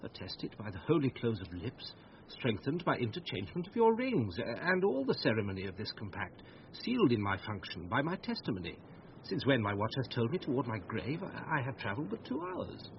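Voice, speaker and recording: A solemn priest, intoning expressively. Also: male voice, mid-range voice, huge pitch swings, a little fast. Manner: formal, ceremonious. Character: solemn old priest bearing witness. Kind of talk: classical Shakespearean radio acting. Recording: muffled, distant radio recording, faint noise.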